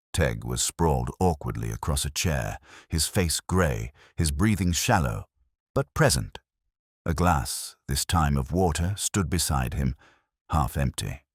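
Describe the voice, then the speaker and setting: A man gives a measured, wry reading of a detective story. His voice is extremely deep, yet his pitch moves expressively through the lines. The recording is dry and close, with almost no room sound. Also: no echo. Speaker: narrator. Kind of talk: scripted mystery narration.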